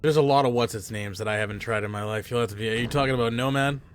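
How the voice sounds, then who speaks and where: A man hosting a podcast, chatting casually while gaming, rambling and self-mocking, with a hoarse, stuffy voice from losing it. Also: mid-range voice, rapid-fire. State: losing his voice, stuffy sinuses. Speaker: podcast host.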